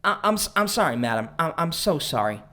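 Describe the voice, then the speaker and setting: A man's voice: on the high side, big pitch swings, measured pace, a bit bright. An actor playing a bitter, boastful young man, an internet misogynist, in a dramatic, self-pitying audio drama style. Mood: frantic pleading.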